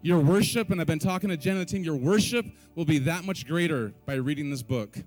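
Man, worship leader, high-ish voice, energetic exhortation, faint instrumental music. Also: fairly quick. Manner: energetic, call-and-response, joking asides. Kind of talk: church stage exhortation and prayer. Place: church sanctuary.

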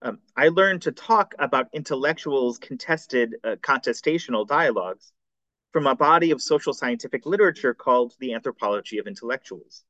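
A man reads his seminar paper in a careful, formal cadence, correcting the odd slip as he goes. His voice sits at an ordinary pitch for a man. The recording is dry and somewhat dull and muffled. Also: animated, sharp tone, no echo. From United States. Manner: measured, formal reading with occasional slips. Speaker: scholar presenting a seminar paper. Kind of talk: reading a prepared academic paper.

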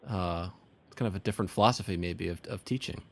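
A man's voice: low voice, fast, vocal fry. A man hosting an interview, asking rambling, stacked questions. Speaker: podcast host. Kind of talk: casual interview.

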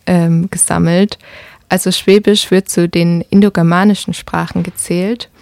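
Flat-voiced woman with a slight Baden accent. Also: on the low side, gravelly, no echo, clean studio sound. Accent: slight Baden German. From Karlsruhe, Baden. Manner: hesitant, fills with ähm. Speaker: podcast co-host. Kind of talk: casual podcast chat.

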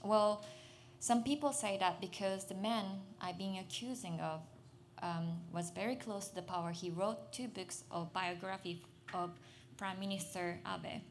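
A young adult Japanese woman giving a personal testimony in English on a festival stage, halting and searching for words, soft and earnest. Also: mid-range voice, slight background noise. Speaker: speaker at a literary festival.

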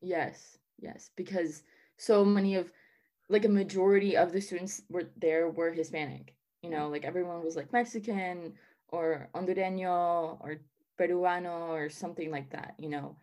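Young woman from the us south, low voice, rambling casual answers w lots of likes and ums. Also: fairly even intonation, moderate pace, dark tone. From Southern United States. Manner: chatty, lots of "like" and "um" fillers. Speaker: interviewee.

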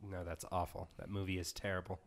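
A man's voice: deep voice, level tone, fast. A young man co-hosting a crude podcast, making dry, rambling asides full of hesitations. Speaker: podcast co-host. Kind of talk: crude casual group banter.

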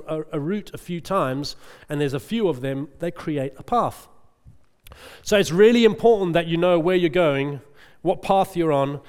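A middle-aged man preaches in a relaxed, conversational way, often asking the congregation for an "amen." His voice is smooth and resonant and sits a touch high. The sound carries the long reverb of a large church hall. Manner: chatty, checks in with the congregation with "amen?". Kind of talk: conversational sermon.

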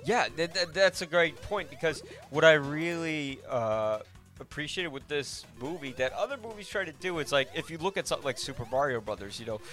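A man's voice: on the high side, animated, a little fast, a bit bright. Male podcast host thinking aloud, music behind. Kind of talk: casual film discussion.

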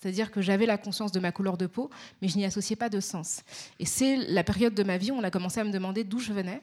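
A young woman with a pronounced Parisian accent, answering interview questions in a measured, articulate way with frequent hesitations. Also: medium pitch, darkish tone. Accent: Parisian French. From Paris, France. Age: young adult.

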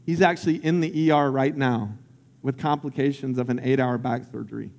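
A man's voice: medium pitch, brisk, creaky, a little mellow, long reverb tail, lo-fi sound. A man from Central Florida, a pastor giving an earnest, personal sermon that builds in intensity.

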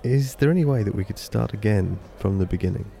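A man's voice: lowish voice, fairly quick, creaky, warm tone. An actor playing an awkward, nervous young Irish man on a date in an audio drama, self-deprecating and hesitant with his jokes. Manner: hesitant, joking. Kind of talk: audio drama acting, naturalistic dialogue.

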